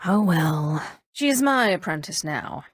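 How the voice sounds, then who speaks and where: An actress playing a commanding, cool-headed Sith sorceress in a video game, haughty yet idealistic, speaking in a poised, measured, imperious way. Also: female voice, on the low side, animated, slightly rough, no echo, clean studio sound. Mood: calm, persuasive. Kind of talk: scripted video game dialogue acting.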